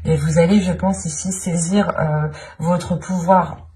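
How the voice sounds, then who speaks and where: A woman's voice: lowish voice, steady tone, unhurried, warm tone, a little hiss or hum. A woman giving an improvised tarot reading as a channel host, speaking in a searching way and often repeating her phrases.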